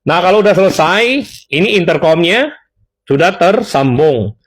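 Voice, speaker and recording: A man's voice: high voice, animated, normal speed, slightly rough. Chatty man with slang. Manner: chatty, addresses viewers directly, self-corrects. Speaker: seller demonstrating intercoms. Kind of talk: casual product tutorial.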